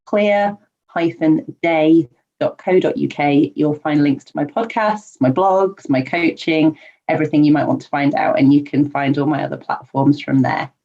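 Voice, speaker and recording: A woman's voice: low-pitched, normal speed. Earnest woman guest on a muffled line. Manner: warm, earnest, frequent laughs and ums. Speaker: podcast guest, life coach. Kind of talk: casual interview answers. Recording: dull lo-fi remote audio.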